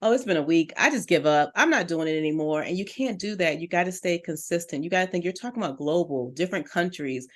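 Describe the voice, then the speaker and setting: A woman's voice: on the low side, lively intonation, fast, hardly any pauses. A middle-aged woman, a business coach on a talk show, giving direct and encouraging advice to listeners. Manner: earnest, direct and encouraging, addresses listeners as "you".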